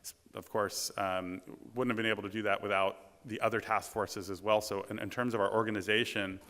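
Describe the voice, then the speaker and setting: Booming man in a lecture hall. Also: level tone, pushed and bright. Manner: halting, many ums and restarts. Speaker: conference speaker, task force president. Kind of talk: conference presentation. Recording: reverberant hall.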